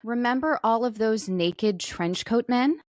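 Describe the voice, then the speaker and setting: A woman's voice: on the high side, measured pace, a little mellow, no echo, studio quality. A woman co-hosting a podcast in casual comedic chat, frank and wry in a conversational way. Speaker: podcast co-host.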